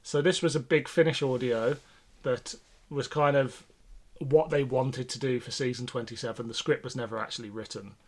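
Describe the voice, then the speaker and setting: A man's voice: medium pitch, fairly even intonation, normal speed. A man co-hosting a podcast in casual conversation, informal and thinking aloud as he goes. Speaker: podcast host. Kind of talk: casual discussion.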